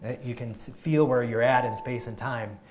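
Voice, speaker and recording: Male chiropractor giving a live talk to a group, energetic, emphatic, average-pitched voice. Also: normal speed, slight background noise. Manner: energetic, emphatic, frequent restarts.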